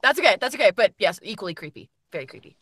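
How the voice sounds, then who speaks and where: A woman's voice: medium pitch, big pitch swings, racing, a crowd in the background. A woman chatting informally as a guest on a podcast panel. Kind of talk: casual chat.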